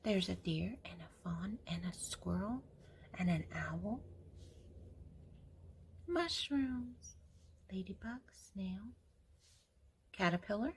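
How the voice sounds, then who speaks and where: An older woman hosting a storytime for young children, reading a picture book aloud in a gentle way and talking directly to her little listeners. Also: mid-range voice, expressive, slow pace, long pauses, slight background noise.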